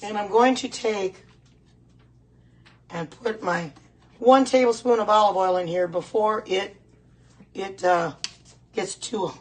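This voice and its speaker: A middle-aged woman with a hoarse voice, chatting casually and repeating herself as she hosts a live cooking demonstration. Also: mid-range voice, slow pace, pauses often. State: hoarse voice, clearing throat at times. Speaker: host of a live home cooking stream. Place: home kitchen.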